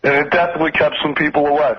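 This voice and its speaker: Higher-pitched male caller, dull line. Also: flat delivery, very fast, crowd noise. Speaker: radio caller. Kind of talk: casual fan anecdote. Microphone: telephone. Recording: muffled band-limited phone call on radio.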